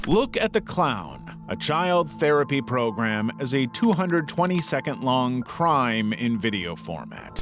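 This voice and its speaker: Man narrating with lively pitch over music. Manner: wry, conversational asides. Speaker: narrator of internet horror explainer videos. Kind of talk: scripted narration.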